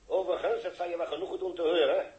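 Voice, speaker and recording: Echoey, higher-voiced earnest male commander acted. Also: fairly even intonation, very fast, darkish tone, dull audio, lightly acted. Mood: earnest, cautioning. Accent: Dutch. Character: earnest, urgent male mission controller instructing a spaceship crewman. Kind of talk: 1960s radio drama acting. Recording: echoey 1960s radio drama sound.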